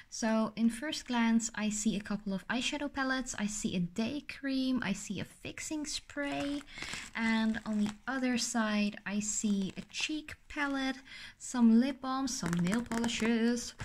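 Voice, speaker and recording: A woman's voice: mid-range voice, slight background noise. A young adult woman whose first language is Dutch, chatting casually through a makeup unboxing with a sore throat and a rambling, filler-heavy manner. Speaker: beauty vlogger.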